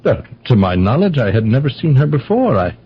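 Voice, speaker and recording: Stammering, husky man, flustered radio-drama guest. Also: medium pitch, sing-song, brisk, heavily acted. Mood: nervous, wistful. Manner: stammering, repeating words, agitated. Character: flustered, lovestruck male house guest, insistent and earnest. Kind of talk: old-time radio drama acting. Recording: dull lo-fi radio recording.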